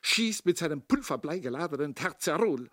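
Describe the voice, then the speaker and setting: Austrian front-line radio war reporter. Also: male voice, fairly high pitch, animated, moderate pace, a bit bright, dry recording. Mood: dramatic reporting. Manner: solemn, dramatic announcing. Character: breathlessly earnest wartime radio front reporter. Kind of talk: mock war radio commentary.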